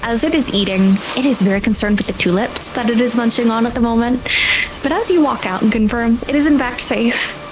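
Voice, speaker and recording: A woman's voice: on the high side, a little fast, over music, poor audio. A woman acting as game master, improvising descriptive scene-setting narration for a tabletop role-playing game.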